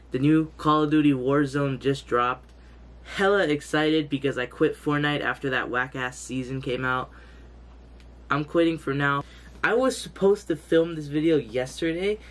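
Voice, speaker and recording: A young man with a congested, stuffy nose, giving hyped, slangy gameplay commentary indoors. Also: fairly high pitch. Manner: hyped, slangy, loud exclamations. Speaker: gaming youtuber. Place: indoor room, green screen. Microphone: headset, webcam framing.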